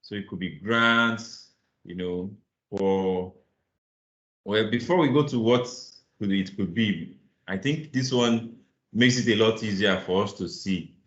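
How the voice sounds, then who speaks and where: Low-voiced man teaching an online class. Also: unhurried, a bit bright. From Nigeria. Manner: patient, encouraging, reads definitions aloud. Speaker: financial modeling instructor. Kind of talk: live online class, explaining and inviting answers. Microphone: video call. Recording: roomy, slightly muffled call audio.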